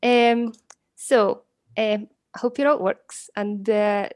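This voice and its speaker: A woman's voice: medium pitch, fairly even intonation, slow, darkish tone, no room sound. A woman giving an informal online conference talk as guest speaker, chatty and a little hesitant in her phrasing. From Portugal. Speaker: guest speaker, postdoctoral researcher. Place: online live stream, video call.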